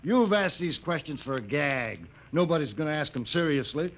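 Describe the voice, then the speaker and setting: An actor playing an excitable middle-aged company boss in a 1950s radio drama, blustering emphatically. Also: male voice, fairly high pitch, moderate pace, slight background noise. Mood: dismissive, blustering. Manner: emphatic, dismissive barking.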